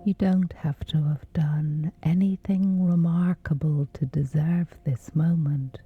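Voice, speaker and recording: A woman softly guiding a scripted sleep meditation in a breathy, gentle, soothing hush with long pauses. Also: deep voice, steady tone, no room sound, narrow, tinny audio, soft background music. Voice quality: breathy, whispery soft.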